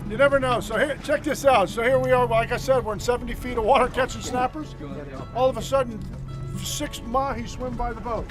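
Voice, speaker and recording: A man acting as a fishing guide on a boat, chatty and energetic, explaining tips step by step. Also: very high voice, brisk, over music. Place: on a boat offshore, outdoors.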